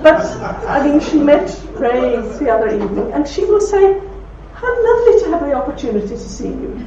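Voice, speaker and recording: A woman's voice: sits high, sing-song, brisk. Belfast woman telling stories in a hall. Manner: warm anecdotal storytelling with laughs. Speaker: speaker at a talk. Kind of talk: talk with audience questions. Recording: roomy hall from far off, dull.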